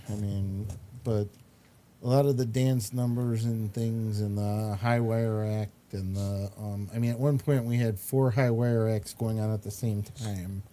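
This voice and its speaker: A man's voice: fairly low pitch, mellow, slight background noise. A man hosting a casual talk show, chatting about entertainment in a hesitant, rambling way. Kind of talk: casual chat, reviewing entertainment.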